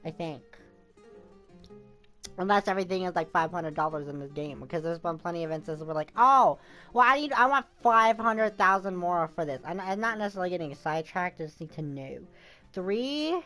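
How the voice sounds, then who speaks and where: A woman with a fairly low voice streams a game over clearly audible music. She thinks out loud in a rambling way, using slangy, informal wording as she comments on her play. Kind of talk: casual gameplay commentary.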